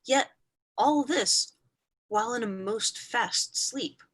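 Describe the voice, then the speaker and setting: A woman's voice: a little high, slow pace, fairly bright tone, husky, full character voice. A woman playing a guarded night attendant in a Shakespearean staged reading. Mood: earnest.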